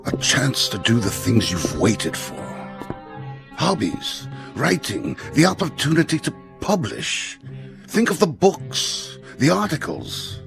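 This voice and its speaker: An actor playing a formal, tactful, evasive school headmaster in a radio drama, stiffly courteous and measured in his wording. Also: medium pitch, big pitch swings, gravelly, a bit bright, faint music behind, exaggerated voice. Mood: apologetic, smooth.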